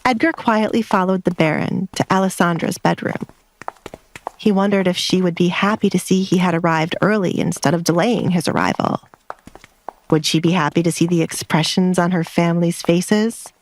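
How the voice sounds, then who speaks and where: A woman's voice: fairly low pitch, normal speed, a little hiss or hum, poor audio. A woman reading scripted romance fiction as an audiobook narrator. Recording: background music under the voice. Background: music.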